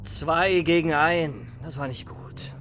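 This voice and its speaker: A man's voice: on the high side, slow, fairly bright tone, a little hiss or hum. A man playing an imperious, vain and menacing businessman in a radio drama, with a clipped, brooding manner. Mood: cold, commanding. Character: imperious, vain, violent businessman giving covert orders. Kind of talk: German radio drama acting.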